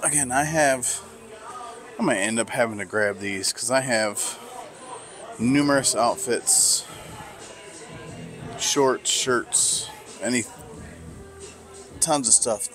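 Slow, musing man in a sneaker store. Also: mid-range voice, music in the background. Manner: slow, musing, lots of fillers. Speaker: sneaker vlogger. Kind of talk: casual walk-through commentary. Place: sneaker stores in a shopping mall.

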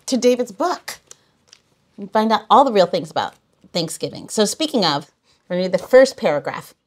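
A woman's voice: mid-range voice, sing-song, moderate pace. A woman hosting a podcast, chatting casually in an effusive, rambling way. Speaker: podcast host. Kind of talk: casual interview chat.

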